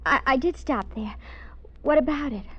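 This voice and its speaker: An actress playing a frightened but quick-witted woman held at gunpoint, breathy and husky, in 1950s radio drama style. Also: high voice, fairly bright tone, slight background noise. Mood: nervous, covering up. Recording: muffled old radio recording.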